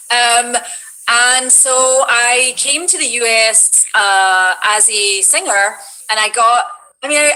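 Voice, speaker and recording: A woman's voice: medium pitch, rough recording. A woman from Scotland with a Scottish accent, a voice actress chatting as a guest over a video call and telling stories with lots of fillers. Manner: chatty, animated storytelling with fillers. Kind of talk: casual stream chat.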